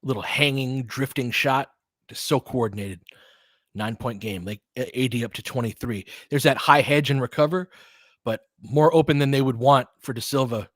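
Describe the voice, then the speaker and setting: A man's voice: medium pitch, moderate pace, a bit bright, no room sound, clean studio sound. A man calling a basketball game live in clipped phrases, with casual, fan-like asides between the plays. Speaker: basketball play-by-play commentator.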